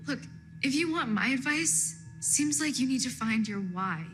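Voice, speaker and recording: A woman's voice: sits high, animated, a little slow, fairly bright tone, soft background music. A woman acting as a blunt, weary woman in a screen drama. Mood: weary, firm.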